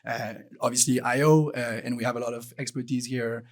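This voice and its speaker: A man's voice: mid-range voice, fairly even intonation, fairly quick. A man moderating a business panel, posing questions with many restarts. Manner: hesitant, repeats words. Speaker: panel co-chair.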